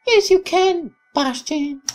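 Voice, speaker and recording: Woman acting a young game heroine, raised pitch, playful, frank, soft-hearted, music behind. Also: takes it slowly. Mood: soft, intimate.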